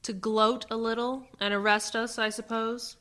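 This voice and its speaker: An actress playing a suspicious, sharp-tongued female fugitive in a radio science fiction drama, speaking in a crisp, pointed way. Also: fairly high pitch, little pitch movement, moderate pace, lightly acted. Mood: suspicious, cutting.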